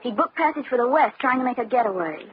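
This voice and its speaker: A muffled vintage radio recording of a detective's wry, curious sidekick. Her voice is high and very animated, with a chatty old-serial delivery. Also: quick pace, slightly rough. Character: inquisitive, wry female investigator's partner. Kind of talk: old-time radio detective drama acting. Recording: dull lo-fi old radio, faint noise.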